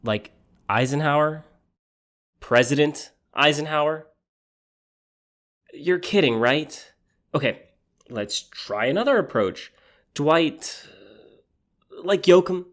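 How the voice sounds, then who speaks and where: Flustered, catty jilted man, swooping in pitch, acted. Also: lively intonation, slow, long pauses, a bit bright. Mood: catty, exasperated. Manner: rambling, incredulous, campy complaining. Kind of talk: theatrical comic monologue.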